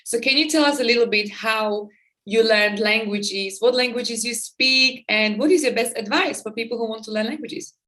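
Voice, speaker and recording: Woman podcast host, non-native English, chatty and upbeat, pitched a little high. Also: moderate pace, sharp tone. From Czechoslovakia. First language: not English; similar to Czech. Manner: enthusiastic, chatty, frequent restarts. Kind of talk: casual interview chat.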